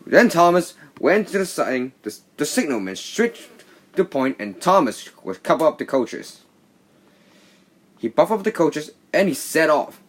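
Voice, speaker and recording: A man narrating a children's read-along story in a halting, stumbling way. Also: a little high, big pitch swings. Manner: halting, stumbling reading with slips. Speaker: storybook reader.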